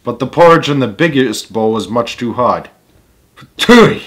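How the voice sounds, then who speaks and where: A man's voice: medium pitch, lively intonation, moderate pace, a bit bright. A man reading a children's story aloud as its host, with emphatic delivery and casual asides.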